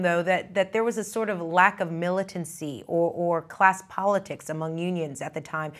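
A woman in her thirties putting formal questions as a news interviewer in a studio. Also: lowish voice. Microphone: lapel mic.

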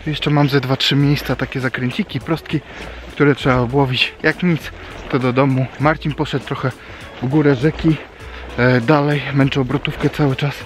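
A man in his thirties talks to his camera outdoors beside a small river, chatty and eager as he explains his fishing. His voice sits at a middling pitch. Music plays underneath the open-air sound. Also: steady tone, moderate pace. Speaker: fishing vlogger. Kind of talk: casual outdoor vlog talk.

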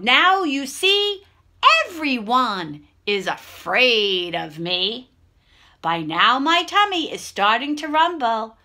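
A woman's voice: high-pitched, pushed and bright, full character voice. A woman voicing a small, cunning, boastful mouse in a children's storybook, with bold, emphatic delivery. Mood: sly, boastful. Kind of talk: children's storybook read-aloud.

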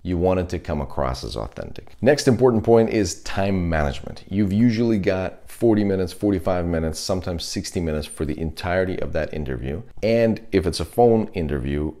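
A man in his thirties giving instructional advice to camera as a coach, stressing key words deliberately, recorded on a lapel mic. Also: deep voice, animated, dark tone. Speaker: host, product management career coach. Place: small indoor room, plain dark backdrop.

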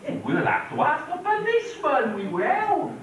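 Man as a very high-pitched daft pantomime bear. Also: wildly swooping pitch, normal speed, background noise, exaggerated voice. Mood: earnest. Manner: exaggerated, playing to the audience. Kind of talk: amateur pantomime acting. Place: village hall stage. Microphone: far camera in the audience. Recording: echoey hall from far off, camcorder.

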